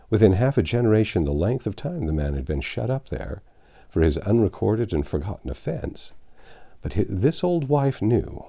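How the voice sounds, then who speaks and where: A man reading classic literature aloud as an audiobook narrator, phrasing deliberately and expressively. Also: on the low side, gravelly, mellow.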